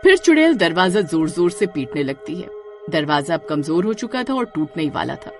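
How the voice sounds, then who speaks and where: A woman tells a scary story as a scripted narrator. Her voice sits a little low and swings expressively for drama, with faint music behind it. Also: very animated, normal speed.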